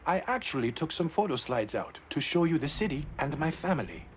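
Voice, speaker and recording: Courteous, self-assured male dignitary for a narrative game; lightly raised pitch, faint background noise. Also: very animated, fairly quick. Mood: proud, assured. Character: composed, courteous male public figure proud of his homeland. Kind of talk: video game dialogue acting.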